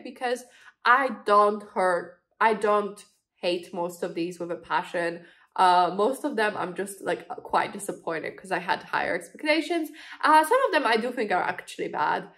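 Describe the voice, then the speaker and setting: Stuffy-nosed gal with a cold, rambling casual book chat, lots of "like" and "um". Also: female voice, a little high, fairly bright tone. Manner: chatty, frequent fillers, laughs while talking. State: congested from a cold. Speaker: booktube host.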